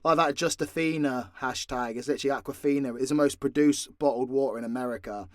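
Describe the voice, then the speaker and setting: A man's voice: mid-range voice, fast talker, no room sound, studio quality. A man chatting casually and candidly, swearing freely, as he answers questions off the cuff as a creator. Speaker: voice actor and audio creator answering fan questions. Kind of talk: casual unscripted Q&A talk.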